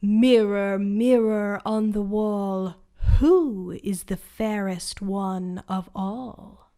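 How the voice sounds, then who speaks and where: A solemn magic mirror from a bedtime storybook, put on by a woman reading aloud. It speaks its verses at a crawling, measured pace, in a voice set a little back from the listener. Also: medium pitch, steady tone, mellow. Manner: slow, measured recitation.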